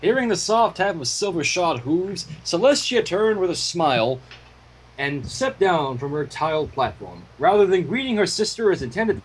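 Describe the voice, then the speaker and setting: A man's voice: high-pitched, sing-song, moderate pace, slight background noise, poor audio. A man hosting a fan fiction reading, delivering the story with irreverent, profane asides. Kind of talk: story reading with casual commentary.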